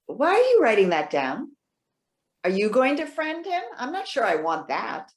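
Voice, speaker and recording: A proud, independent old woman, played by an actress in a staged play reading. Her pitch swings widely as she talks, articulate and defensive, with a sarcastic edge. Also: huge pitch swings, moderate pace. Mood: wary, testy. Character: proud, independent elderly woman, indignant and sharp-witted. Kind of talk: readers theater stage acting.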